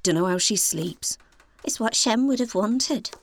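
A woman's voice: fairly high pitch, lively intonation, measured pace, a little hiss or hum. A woman playing a stubborn, thrifty cook with a defensive, colloquial manner in a comedy audio drama. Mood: huffy, defensive. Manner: defensive, colloquial, matter-of-fact.

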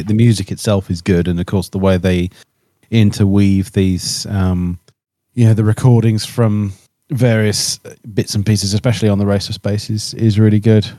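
A man chatting casually on a podcast in a hesitant, halting way, often repeating himself. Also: fairly low pitch, flat delivery, warm tone, dry recording, clean studio sound. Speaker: podcast co-host.

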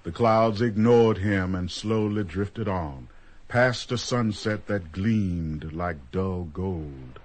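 A man with a husky voice narrating a scripted radio drama in a measured, dramatic storytelling manner. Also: sits low.

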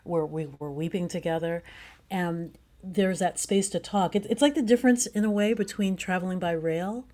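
A woman's voice: fairly low pitch, moderate pace, dark tone. A woman being interviewed as a guest playwright, talking conversationally with effusive, emphatic praise.

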